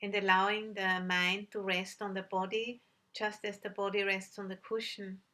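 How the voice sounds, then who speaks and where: A woman teaching a guided meditation, giving gentle instructions with long pauses between phrases. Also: medium pitch, level tone.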